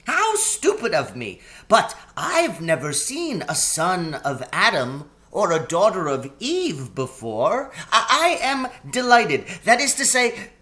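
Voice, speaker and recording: A man performs a nervous faun from a children's storybook, pitched high and swooping widely. He is kindly and eager to please, with courtly, stammering exclamations. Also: wildly swooping pitch, takes it slowly, few pauses, sharp tone, full character voice. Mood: flustered, delighted.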